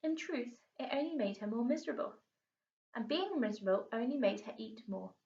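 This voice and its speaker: A woman teacher reading a children's storybook aloud in an expressive storytelling style. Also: on the high side, a little fast. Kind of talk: storybook narration. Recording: roomy, distant, muffled home recording.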